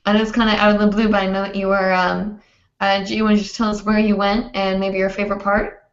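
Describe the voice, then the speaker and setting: A young woman hosting a hoop dance livestream, hoarse and chatty, rambling with many fillers. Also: medium pitch, flat delivery, fast. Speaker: host. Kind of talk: casual livestream chat. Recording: roomy, dull lo-fi stream audio.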